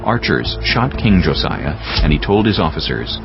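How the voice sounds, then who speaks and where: A man with a very deep voice narrates scripture as a solemn storyteller, with widely moving intonation. Music runs clearly behind him, and the sound is slightly dull. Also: big pitch swings, normal speed. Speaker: audiobook narrator. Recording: muffled, music bed behind.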